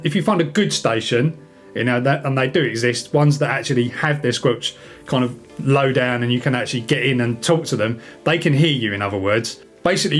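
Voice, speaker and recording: Casual man chatting to viewers, music behind. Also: medium pitch. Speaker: video host, radio hobbyist. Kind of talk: casual chat to camera.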